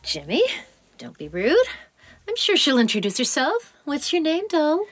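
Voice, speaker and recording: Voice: high voice, huge pitch swings, a little slow, a bit bright, dry recording, soft background music, heavily acted. A performer playing a mocking, menacing underworld card-game boss in an audio drama. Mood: mocking menace.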